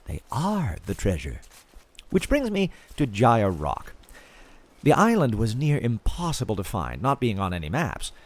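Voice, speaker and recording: A man's voice: mid-range voice, huge pitch swings, unhurried. A man playing an obsessive, chatty podcaster who confides in his listeners about his treasure-hunting expedition in an audio drama. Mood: intrigued, confiding. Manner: theatrical, confiding, addresses listeners directly. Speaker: podcast host in audio fiction. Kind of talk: audio fiction monologue as a podcast host.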